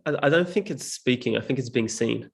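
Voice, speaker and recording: A man's voice: mid-range voice, fairly quick, vocal fry. A young man from Serbia, whose first language is Serbian, speaking as a guest in an interview in a thoughtful, earnest way full of "like" fillers. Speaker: guest, public speaking teacher. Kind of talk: reflective interview talk.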